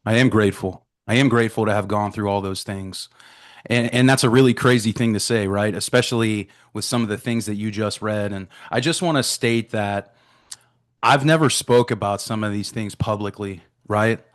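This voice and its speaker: Thirties guest from Florida, candid storyteller, slightly low voice. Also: male voice, normal speed. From Florida, USA. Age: 30s. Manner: open, leans on "right?" and "man". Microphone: remote video call.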